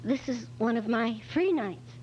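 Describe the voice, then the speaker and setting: A woman's voice: on the high side, lively intonation, a little slow, a little mellow. A woman playing a flustered, anxious secretary who hesitates and stammers as a witness in an amateur radio detective drama. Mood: hesitant, explaining. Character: nervous, flustered female secretary, evasive witness. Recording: dull, lo-fi recording with faint hum.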